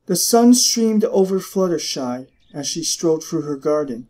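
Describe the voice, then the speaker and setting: Casting: male audiobook narrator, slightly higher register, dramatic and expressive delivery of literary prose. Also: moderate pace. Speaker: story narrator. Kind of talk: dramatic scripted narration of fiction.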